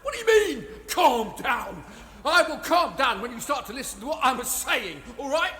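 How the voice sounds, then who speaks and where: A man's voice: extremely high pitch, sing-song, moderate pace, bright, forceful tone, heavily acted. An actor playing an indignant, confrontational male protestor with an English accent in an audio drama. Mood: angry. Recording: echoey hall.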